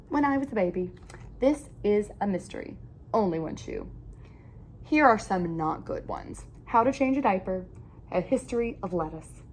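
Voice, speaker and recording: Female storyteller for children's read-aloud, very expressive swooping delivery, emphatic phrasing, noticeable background noise. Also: mid-range voice, creaky.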